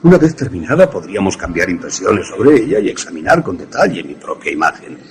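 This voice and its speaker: Mad vengeful professor, very low voice, swooping intonation, formal sardonic menace, radio drama. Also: male voice, very animated, brisk. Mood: cold politeness. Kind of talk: Spanish radio suspense drama acting.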